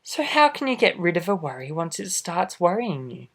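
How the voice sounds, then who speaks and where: A man reading a children's storybook aloud expressively, adding gentle asides for young listeners. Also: sits high, very animated, normal speed, creaky. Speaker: storybook reader. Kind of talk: children's picture book read-aloud.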